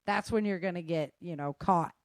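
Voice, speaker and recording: A woman's voice: fairly low pitch, moderate pace, no room sound, studio quality. A middle-aged woman talking casually and frankly, giving emphatic, informal advice as an instructor. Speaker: self-defense instructor and guest.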